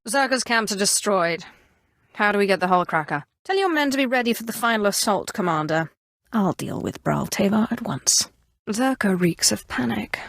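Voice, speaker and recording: Ruthless Sith sorceress, video game villain, haughty, deliberate, slightly low pitch, highly expressive intonation. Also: female voice, no room sound, studio quality, full character voice. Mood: businesslike. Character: ruthless, imperious female Sith Inquisitor, dark sorceress of the Empire. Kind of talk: video game character voice acting.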